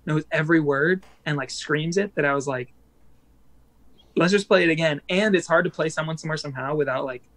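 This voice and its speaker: A man, a band musician, chatting casually as a guest in an interview, rambling with plenty of fillers and quick laughs. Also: a little high, a bit bright.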